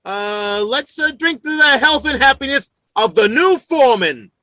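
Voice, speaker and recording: A blustering working-class family man in old-time radio comedy style. His voice is bright and swings widely in pitch, captured dry and close. He stammers through folksy, ungrammatical sentences and is quick to take offense. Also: expressive, no echo, heavily acted. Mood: eager to please.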